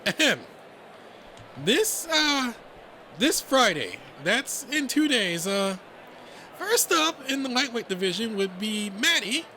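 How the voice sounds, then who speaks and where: A man's voice: very high-pitched, very animated, slow, sharp tone, a little hiss or hum, voice pushed up, exaggerated voice. A man voicing a timid little male rabbit in an audio story, announcing in a stammering, hesitant, nervous manner. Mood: flustered.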